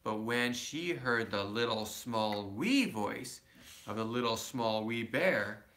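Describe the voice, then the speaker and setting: A man's voice: on the low side, lively intonation, takes it slowly, fairly bright tone. A man reading a children's storybook aloud as a father, adding simple, casual asides to his child.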